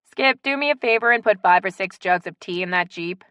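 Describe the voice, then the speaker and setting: A woman's voice: medium pitch, a little fast, fairly bright tone, dry recording, lightly acted. A woman narrator voicing a frank, wry local mother with a slight Cajun accent in an audiobook story. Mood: matter-of-fact.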